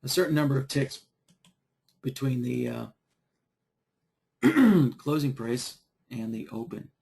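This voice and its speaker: A man teaching trading software in a live online session, losing his voice from a dry throat and clearing it often, talking in a halting, unhurried way. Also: mid-range voice, expressive. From Idaho. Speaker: software trainer. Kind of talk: live webinar screen-share tutorial.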